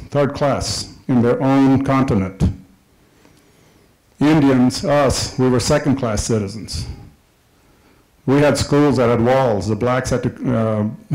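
A man's voice: mid-range voice, a little mellow, rough recording. A middle-aged man from Kenya speaking at a public session, delivering an impassioned, emphatic speech that repeats its key points. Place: large hall with a screen, indoors. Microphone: handheld microphone.

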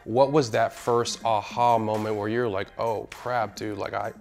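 A man in his thirties hosting a casual interview, upbeat and slangy, quick to affirm his guest, recorded on a lapel mic on an indoor set. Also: on the low side, little pitch movement, creaky, darkish tone, soft background music. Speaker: interview host.

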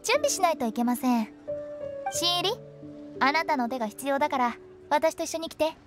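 Brisk, confident young female undertaker boss for an anime game; high, very lively delivery. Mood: confident, commanding. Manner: animated, confident, bossy. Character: brisk, playful young female funeral parlor director. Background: background music.

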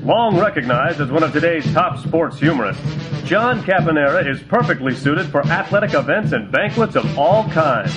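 A polished male announcer reads promo copy with a slightly raised pitch. A clear music bed plays under him throughout. His delivery is smooth and scripted. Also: expressive, moderate pace, rough recording. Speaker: promo narrator.

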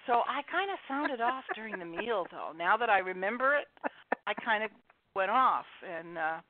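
A woman's voice: mid-range voice, expressive, normal speed. A woman author, a radio guest, telling stories in casual chat and laughing at herself. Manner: chatty storyteller, laughs at herself. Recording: dry, tinny phone line.

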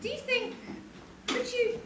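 A woman's voice: very high-pitched, steady tone, unhurried. A woman playing an earnest young male hero in love in an amateur stage pantomime. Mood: nervous. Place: village hall stage. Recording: echoey noisy hall.

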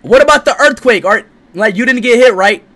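Loud hyped young man on a headset. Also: extremely high pitch, lively intonation, a little fast. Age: young adult. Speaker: streamer. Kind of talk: casual video chat. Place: small room with green screen. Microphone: gaming headset.

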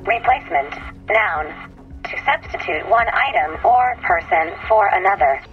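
A woman's voice: medium pitch, expressive, unhurried, dull audio. Polite female robot assistant, processed, formal, stilted, faint music behind. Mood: impassive.

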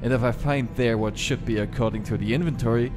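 A man's voice: mid-range voice, steady tone, natural voice. Actor as a commanding male starship commander, music behind. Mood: composed, commanding. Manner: measured, authoritative. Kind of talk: audio drama acting.

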